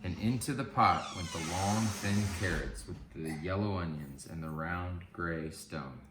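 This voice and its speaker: A man's voice: deep voice, unhurried. A man reading a children's storybook aloud as a guest reader. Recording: roomy, faint background noise.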